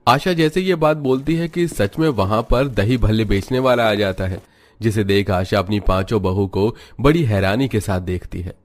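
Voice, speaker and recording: A man's voice: lowish voice, normal speed. A man telling a scripted moral story as its narrator.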